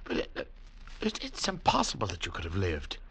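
An actor playing a troubled mining engineer and former climber in a 1940s radio drama, intense and articulate. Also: male voice, low voice, huge pitch swings, normal speed, gravelly, fairly bright tone, slightly rough, exaggerated voice. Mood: shocked, disbelieving. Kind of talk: 1940s radio drama acting, first-person narration.

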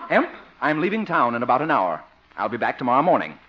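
An actor playing a prissy, sour, condescending boss with a fussy, curt manner in a 1950s radio comedy. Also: male voice, medium pitch, big pitch swings, fast talker, close to an everyday voice. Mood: scornful. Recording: noisy, dull 1950s radio recording.

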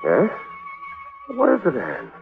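An actor playing a worried, insistent jilted bridegroom, earnest and probing in 1940s radio style. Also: male voice, on the low side, wildly swooping pitch, slow pace, vocal fry, full character voice. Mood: grave concern. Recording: muffled old radio recording, music behind.

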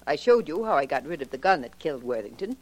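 A woman's voice: deep voice, big pitch swings, quick pace, rough recording. An actress playing a cold, scornful wife in a 1940s radio crime drama, cutting and theatrical in her phrasing. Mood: cold defiance.

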